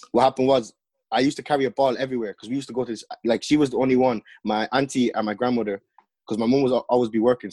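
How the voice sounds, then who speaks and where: Low-voiced young man telling stories. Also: no room sound. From Netherlands. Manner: relaxed storytelling, slangy with many fillers. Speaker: podcast guest, upcoming music artist. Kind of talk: casual podcast chat.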